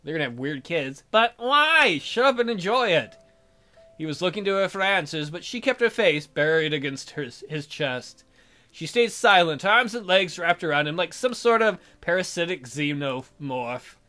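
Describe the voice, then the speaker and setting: A man's voice: on the high side, lively intonation, normal speed, pushed and bright. A man hosting a let's play, reading a visual novel aloud and breaking off to add irreverent, amused comments.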